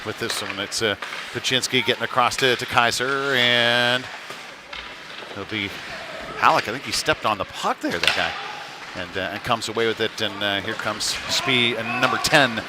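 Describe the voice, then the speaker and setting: A man giving live play-by-play commentary of a hockey game in a halting, conversational way. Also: medium pitch. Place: indoor ice rink during a game. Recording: noisy live arena broadcast.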